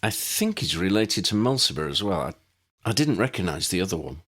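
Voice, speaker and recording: A man's voice: low voice, sing-song, brisk, a bit bright, breathy edge, exaggerated voice. A man voicing a guarded, matter-of-fact male wizard teacher with a clipped, serious manner in a storybook.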